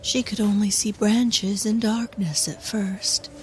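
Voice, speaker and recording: A woman performing as a solemn storyteller, giving measured, grave scripted narration for a dark fairy-tale drama. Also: mid-range voice, steady tone, a little hiss or hum, natural voice, low-quality recording. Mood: grave, hushed.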